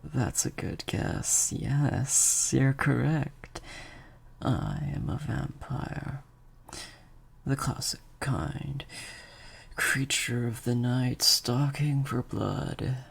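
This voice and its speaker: A man playing a sadistic vampire master in an improvised audio roleplay, menacing and teasing, in breathy half-whispers to a captive listener. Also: medium pitch, expressive, drawn-out words, exaggerated voice. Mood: smug, menacing.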